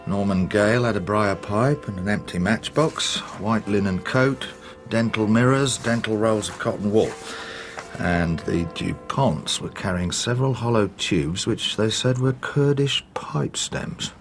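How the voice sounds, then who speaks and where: An actor playing a blunt, jocular English chief inspector in a radio drama, matter-of-fact and colloquial. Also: male voice, fairly low pitch, a little slow, faint music behind. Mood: businesslike.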